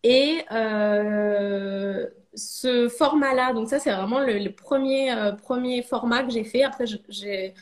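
A woman's voice: fairly high pitch, slow. A French woman in her thirties, a ceramic artist, talking casually in a podcast interview, hesitant with many fillers and restarts. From France.

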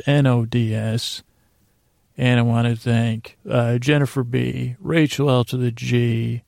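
A man hosting a sleep podcast in a rambling bedtime monologue, soothing and lulling, halting and repeating his words, with a gravelly voice. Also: medium pitch, a little mellow, no room sound, studio quality. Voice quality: gravelly, creaky.

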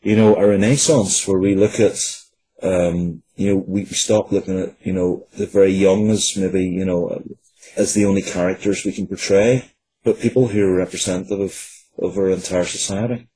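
A man in his forties giving earnest, halting answers as a writer being interviewed. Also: sits low, fairly even intonation, warm tone, breathy edge, poor audio. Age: 40s.

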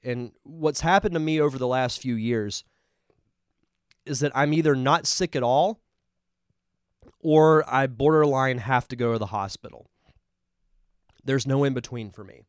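A man's voice: medium pitch, measured pace, pauses often, clear, resonant tone, dry recording, studio quality. A man with a congested voice hosting a live podcast, chatting casually and enthusiastically as he reviews a film. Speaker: podcast host.

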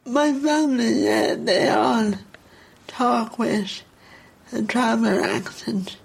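A woman with a Dublin accent and a speech impediment, drawing out each word in a very slow, deliberate way. Her voice is gravelly and husky, and she talks with open, reflective candour. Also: a little high, steady tone. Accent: Dublin Irish. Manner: candid, reflective, emotionally open. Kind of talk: documentary interview.

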